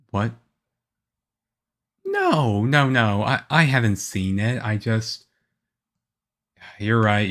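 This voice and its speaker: A man playing a shy, nervous teenage-looking vampire boyfriend in an ASMR roleplay, halting and stammering awkwardly. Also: lowish voice, a bit bright. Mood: flustered, awkward. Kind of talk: ASMR audio roleplay, one-sided conversation.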